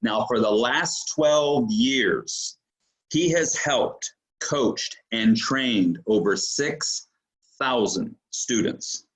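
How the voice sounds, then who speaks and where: A man's voice: medium pitch, drawn-out words, a bit bright, poor audio. A man hosting an interview show, enthusiastic and rambling, with plenty of fillers and restarts. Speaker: podcast host.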